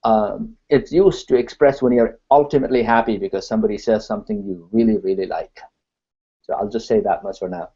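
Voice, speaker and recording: A man's voice: fairly low pitch, brisk, warm tone, husky, lo-fi sound. Earnest man on a call. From Nepal. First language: Nepali. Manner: earnest, builds points in repeated lists. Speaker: discussion participant. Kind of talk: online video call discussion. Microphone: video call.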